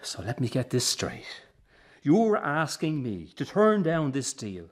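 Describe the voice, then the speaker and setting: An actor playing a driven, frustrated middle-aged son with an Irish accent, in a naturalistic radio drama. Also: male voice, mid-range voice, wildly swooping pitch, measured pace. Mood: frustrated, forceful. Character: driven, frustrated son running the struggling family circus.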